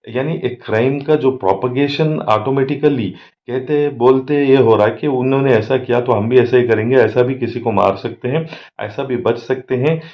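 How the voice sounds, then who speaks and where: An Indian man giving crime commentary as an advocate and host, mixing in many English words. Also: medium pitch. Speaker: advocate and social activist, channel host. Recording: dull lo-fi microphone.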